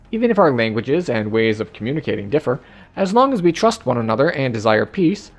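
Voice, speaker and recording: A man reading aloud, in his own voice, the diary entry of a game character, an earnest and hopeful woman. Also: medium pitch.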